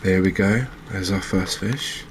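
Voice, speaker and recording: A man hosting a gaming channel with soft-spoken ASMR commentary, talking in a gentle, unhurried, halting way. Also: sits low, background noise.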